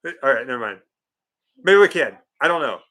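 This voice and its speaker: A man's voice: mid-range voice, fairly quick. A man from the West Coast co-hosting a casual livestream, telling stories and joking in a playful way. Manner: playful, jokey, animated storytelling. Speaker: co-host.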